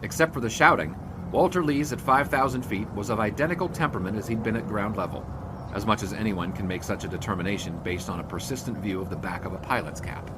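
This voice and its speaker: A man's voice: fairly low pitch, quick pace, noisy background, close to an everyday voice. An actor playing a dry, slightly uneasy 1920s sportswriter who narrates his adventure in radio-drama style. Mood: wry. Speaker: narrator and lead character.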